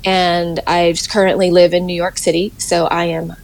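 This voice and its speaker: A woman in her forties, a Mandarin native speaker from the US, answering interview questions in a relaxed, hesitant way. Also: lowish voice, moderate pace, low-quality recording. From United States. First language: Mandarin Chinese. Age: 40s. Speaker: guest.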